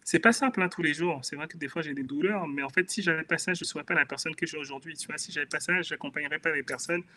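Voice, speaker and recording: A man with a Beninese accent shares his personal story in a casual interview. His voice sits a little high and carries a bright, forceful edge. He talks in an earnest, reflective way. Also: quick pace, a little hiss or hum. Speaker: guest, author.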